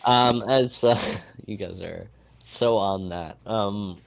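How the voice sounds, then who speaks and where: Slow, low-voiced congested game master. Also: male voice, vocal fry. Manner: halting, filler-heavy narration. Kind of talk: narrating a tabletop game over voice chat.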